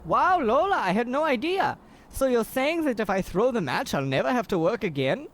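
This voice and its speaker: A woman voicing a gullible, slow-witted, agreeable male boxer in a comic old-time radio play. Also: on the high side, very animated. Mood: naive. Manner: naive, agreeable.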